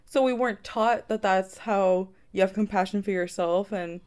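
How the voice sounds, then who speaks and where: Smooth-voiced young woman, casual podcast host. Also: mid-range voice, normal speed, darkish tone. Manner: earnest, encouraging, swears casually. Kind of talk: casual chat.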